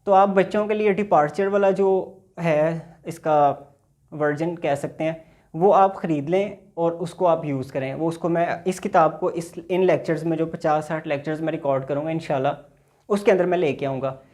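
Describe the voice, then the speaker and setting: A man's voice: a little high, moderate pace, darkish tone. A man teaching basic English in a recorded lecture, patiently explaining and reading example dialogues aloud.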